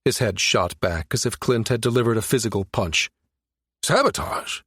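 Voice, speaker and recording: A man's voice: fairly low pitch, fairly quick, fairly bright tone, husky, no echo, studio quality. A man narrating fiction as an audiobook reader, in a scripted storytelling style.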